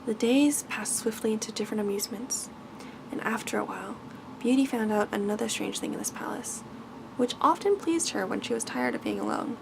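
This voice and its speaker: A woman's voice: a little high, big pitch swings, fairly quick, gravelly, background noise. A woman reading a bedtime fairy tale aloud as ASMR narration. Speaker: ASMR storyteller.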